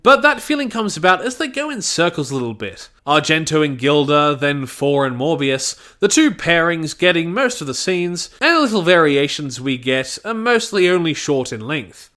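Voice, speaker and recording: A man with a fairly high voice narrates a scripted review. His intonation is very lively, rising and dipping often. He sounds opinionated and slips in jokey asides. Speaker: audio drama reviewer.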